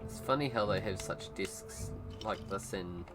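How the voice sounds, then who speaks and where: A man's voice: low voice, unhurried. Laid-back New Zealand man streaming games. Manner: relaxed chatty asides, chuckles often. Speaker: gaming streamer. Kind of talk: casual live gameplay commentary. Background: video game music.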